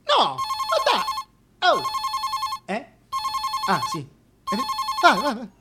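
A man's voice: very high-pitched, huge pitch swings, extremely slow, exaggerated voice. A man playing a flustered, foul-mouthed presenter in a comic radio play, agitated, stammering and colloquial. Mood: indignant, flustered. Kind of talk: theatrical comic monologue.

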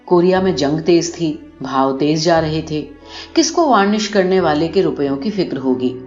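Low-voiced woman narrating, roomy muffled sound. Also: expressive, a little mellow. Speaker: audiobook narrator. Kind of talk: expressive scripted short-story narration. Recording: muffled, roomy recording with music behind. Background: music.

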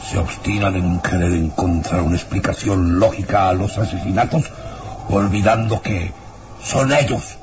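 A man playing a scornful, ominous baron in a radio drama, with a theatrical, drawn-out and foreboding delivery. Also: low voice, steady tone, background noise, close to an everyday voice. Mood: ominous warning. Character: ominous, scornful baron obsessed with human wolves. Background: dramatic music.

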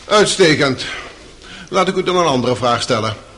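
An actor playing a formal, sceptical courtroom examiner in a radio drama, pressing with pointed questions. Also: male voice, a little high, expressive, moderate pace, fairly bright tone, a little hiss or hum. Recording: long hall reverb on the voice.

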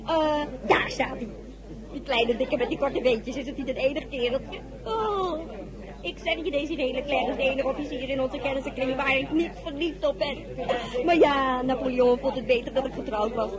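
A woman's voice: high-pitched, normal speed, natural voice. A woman playing an effusive, chattering society lady in a radio drama, with a gushing, theatrical manner. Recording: loud party crowd behind voice.

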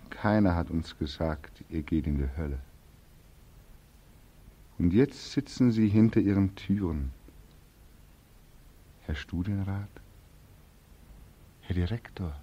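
A young soldier home from war, in 1940s radio-play style. His voice is very low and dark, slow and brooding, with bitter accusation and sardonic despair. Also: muffled recording, a little hiss or hum. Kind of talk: 1940s radio drama acting.

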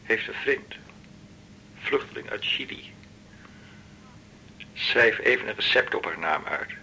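An actor playing a terse, weary, easygoing man in a radio drama, with a creaky voice. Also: expressive, slow, bright, forceful tone, full character voice. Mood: low-key, weary. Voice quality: gravelly, creaky. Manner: terse, low-key. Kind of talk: naturalistic radio drama dialogue. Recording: noisy old radio drama recording.

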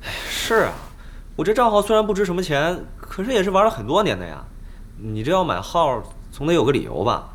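An actor playing a blunt, skeptical young gamer in an audio drama. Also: male voice, a little high, very animated, a little fast. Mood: protesting. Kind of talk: Chinese audio drama acting.

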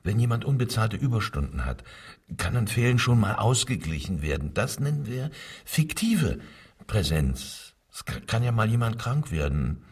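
An actor playing a cowed, evasive factory foreman under interrogation in a radio crime drama, speaking haltingly in a husky voice. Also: on the low side, sing-song, recorded from a distance, full character voice. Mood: defensive. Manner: hesitant, halting, submissive, defensive.

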